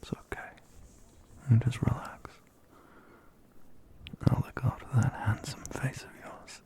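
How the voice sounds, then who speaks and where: Guy acting as a doting fantasy boyfriend, slow soft whispery asmr, soothing n a bit teasing. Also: lightly acted. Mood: tender, soothing. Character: tender, doting male fantasy lover caring for his partner. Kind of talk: intimate ASMR roleplay.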